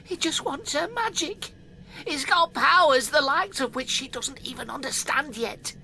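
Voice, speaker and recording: A woman's voice: high voice, expressive, measured pace, sharp tone, a little hiss or hum, exaggerated voice. A woman playing a pitiful, servile male talking cat in an audio drama, plaintive and melodramatic in delivery.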